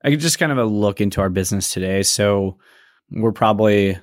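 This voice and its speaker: A man's voice: on the low side, brisk, dry recording, studio quality. A man co-hosting a casual podcast, talking in a loose, rambling way with lots of "you know" and restarts. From San Antonio area. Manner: rambling, many fillers and restarts. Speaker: podcast co-host.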